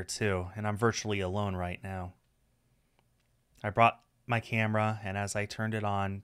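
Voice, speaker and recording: A man with a fairly low voice reads a visual novel aloud on a stream. His delivery is expressive, scripted narration. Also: no echo, clean studio sound.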